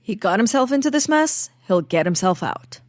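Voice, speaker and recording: A woman acts out a tough, sardonic agent in an audio comedy. She speaks at a medium pitch with a cutting, theatrical bite, recorded close and dry. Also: sing-song, moderate pace, no room sound, natural voice. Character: hard-nosed, sardonic woman agent posing as hotel owner. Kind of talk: comedic audio drama acting.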